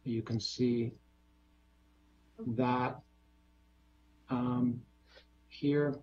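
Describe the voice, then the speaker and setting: A man presenting a bridge design on a video call, explaining in a measured way with many fillers. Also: medium pitch, steady tone, mellow, muffled recording.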